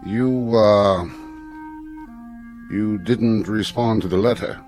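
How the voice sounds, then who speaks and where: An actor playing a formal, tactful, evasive school headmaster in a radio drama, stiffly courteous and measured in his wording. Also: on the low side, a little mellow, faint music behind. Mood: uneasy, insistent.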